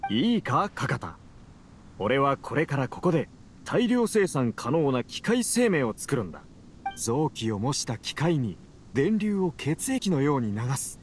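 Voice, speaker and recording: Anime game researcher: mid-pitched, driven yet tired, tender, expressive melody. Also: male voice, unhurried, slight background noise. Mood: determined. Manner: earnest, talking tenderly as if to a companion. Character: male researcher, driven but weary, fond of his machine. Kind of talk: anime game voice acting, monologue to a machine.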